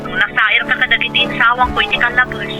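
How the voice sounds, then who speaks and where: A woman's voice: a little high, level tone, quick pace, music in the background, natural voice, poor audio. A woman with a Filipino accent playing an adult elder sister, emotional and reluctant, in a radio drama style. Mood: apologetic, subdued. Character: adult elder sister working abroad, reluctant then apologetic. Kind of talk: Ilocano radio drama acting.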